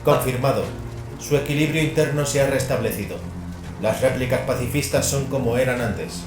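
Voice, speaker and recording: A man's voice: mid-range voice, moderate pace, reverberant, voice pushed up, close to an everyday voice, poor audio. Guy as obedient sci-fi tech adept, clinical and formal, music under it.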